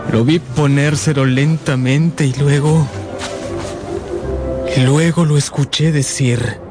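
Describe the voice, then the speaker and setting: A man's voice: on the high side, fairly even intonation, slow pace, over music. An actor in a radio drama playing an earnest, sensitive young man who narrates his own life with feeling. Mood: wistful narration.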